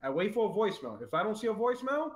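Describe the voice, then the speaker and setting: A man co-hosting a casual podcast, telling an animated story and mimicking callers. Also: high-pitched, racing, reverberant, a little hiss or hum. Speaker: podcast co-host.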